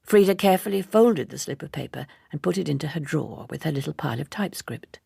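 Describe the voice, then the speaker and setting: A woman narrates a literary novel in a low register. Her voice is heavily creaky and husky, and she tells the story in a measured, expressive way, recorded very dry and close. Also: no room sound. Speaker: audiobook narrator.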